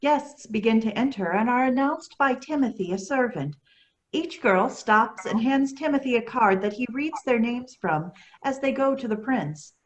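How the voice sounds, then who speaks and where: A woman's voice: on the high side, lively intonation, normal speed, low-quality recording. A woman narrator reading scripted stage directions for a play.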